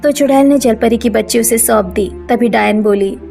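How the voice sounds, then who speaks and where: A woman narrates a children's style cartoon tale in a fairly high voice with noticeable creak. Her delivery is scripted storytelling, with faint music behind. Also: normal speed, dark tone.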